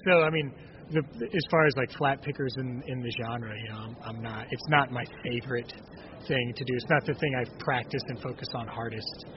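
Man, 30s, raspy speaking voice, halting and restarting, casual interview, dull lo-fi sound, steady noise behind. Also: mid-range voice. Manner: halting, many restarts and fillers. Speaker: bluegrass singer-songwriter, guest. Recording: dull lo-fi audio with clear noise.

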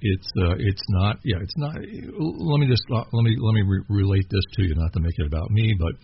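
A man's voice: on the low side, a little fast. A man from Kentucky interviewing a musician in casual chat, rambling with many fillers and restarts. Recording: dull lo-fi with clear noise.

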